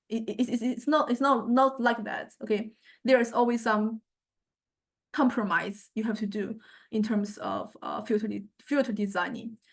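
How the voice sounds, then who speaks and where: A woman giving an informal lecture and software demonstration, speaking haltingly with repeated word starts and frequent check-in questions. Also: fairly high pitch. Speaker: music technology lecturer.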